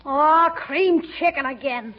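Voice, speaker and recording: A very high-voiced cheeky boy. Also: slow. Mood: grumbling. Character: cheeky, grumbling young boy nephew. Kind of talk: old-time radio situation comedy acting. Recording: muffled old radio recording, faint audience.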